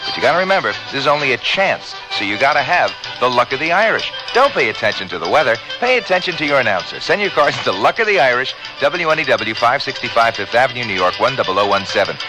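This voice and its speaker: A man announcing a radio station contest promotion in a lively, scripted style. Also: medium pitch, quick pace, few pauses, music in the background.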